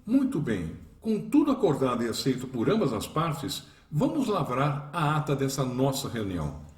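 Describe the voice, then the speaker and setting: A formal presiding official in a radio play. His middle-pitched voice swings widely in tone and rings with room echo. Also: wildly swooping pitch, normal speed, a little mellow. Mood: formal, businesslike. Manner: formal, courteous. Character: formal official presiding over a debate agreement. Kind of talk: radio drama acting.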